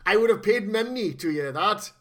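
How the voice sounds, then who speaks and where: A man's voice: high-pitched, moderate pace. A man co-hosting a podcast, chatting casually and jokily about a film, swearing freely. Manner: jokey, animated, swears freely. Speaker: podcast co-host. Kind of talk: casual film-review chat.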